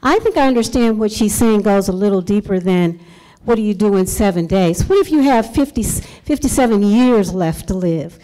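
A woman speaks up from the audience of a meeting into a microphone handed to her. Her tone is earnest and her phrasing a little halting. Her pitch sits in an ordinary middle range. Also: expressive, warm tone, low-quality recording. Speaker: volunteer asking a question. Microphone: handheld microphone passed to audience.

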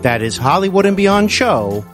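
A man hosting a podcast, speaking courteously and deliberately to guest and listeners. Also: high-pitched, very animated, over music. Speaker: podcast host. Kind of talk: interview hosting and sign-off address to listeners.

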